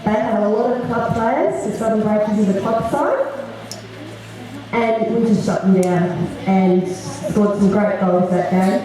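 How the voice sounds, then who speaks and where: Woman, soccer coach, soft-spoken, um-filled speech, school hall, very echoey, distant mic. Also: mid-range voice, unhurried. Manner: soft-spoken, with frequent ums. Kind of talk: prepared speech at a school presentation. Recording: echoey hall, far from the mic, crowd noise.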